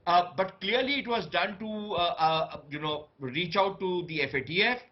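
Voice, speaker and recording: A man's voice: high-pitched, moderate pace, lo-fi sound. A man with an Indian accent giving analytical debate commentary as a panelist, building his argument emphatically step by step.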